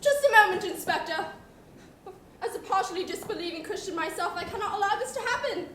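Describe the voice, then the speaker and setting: A woman's voice: very high voice, animated, brisk, fairly bright tone. A young woman on stage playing a melodramatic society lady in a murder farce, speaking in an arch, declamatory way. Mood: grand confession. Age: young adult. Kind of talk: comedic student stage acting. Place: theatre stage. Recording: echoey stage, audience, faint noise.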